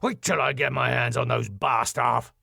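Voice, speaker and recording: A man's voice: mid-range voice, moderate pace, gravelly, bright, forceful tone, husky, dry recording, studio quality, full character voice. Man acting a fussy, panicky sitcom club manager. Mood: exasperated, panicky. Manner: flustered, indignant, prim exclamations.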